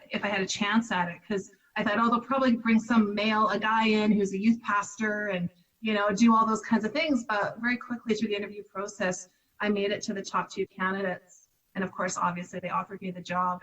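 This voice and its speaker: A woman's voice: mid-range voice, a bit bright, recorded from a distance, highs cut off. A Canadian woman in her fifties, a charity director, talking reflectively in an interview and laughing easily. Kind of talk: conversational interview.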